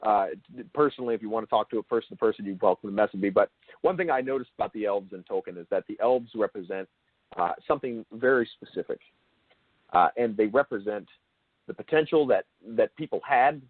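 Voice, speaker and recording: A man's voice: fairly low pitch, a little fast, dry recording. A man hosting a casual interview, often repeating and rephrasing himself as he works toward his point. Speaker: show host interviewer. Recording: narrow phone-like call line.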